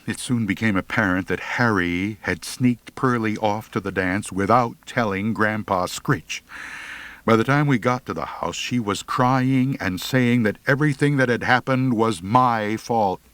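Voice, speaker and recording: A man's voice: lowish voice, measured pace, fairly bright tone, no echo. A man narrating a humorous first-person audiobook story in a wry, theatrical manner.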